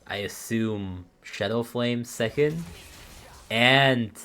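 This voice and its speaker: A man casually commentating a live esports match on his stream, relaxed and laughing often. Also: mid-range voice, slow pace, slight background noise.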